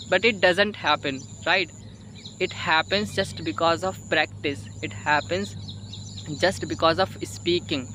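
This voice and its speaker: A Hindi-speaking man with an Indian accent giving informal English-learning advice in a halting, repetitive, emphatic way, often tagging phrases for agreement. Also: high-pitched, pushed and bright, slight background noise. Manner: halting, repetitive, emphatic, frequent "you know" and "okay" tags. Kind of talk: informal spoken advice to viewers.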